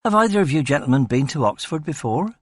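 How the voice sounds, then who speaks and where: A man voicing an eager, talkative young male police constable, speaking in a brisk, breezy way. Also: fairly high pitch, sing-song, no room sound, clean studio sound.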